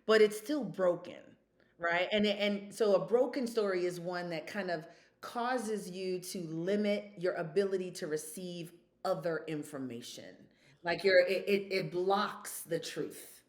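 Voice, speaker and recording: A woman's voice: lowish voice, unhurried. A woman in her fifties speaking as a podcast guest, emphatic and storytelling, full of rhetorical questions. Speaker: guest, consultant. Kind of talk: podcast conversation.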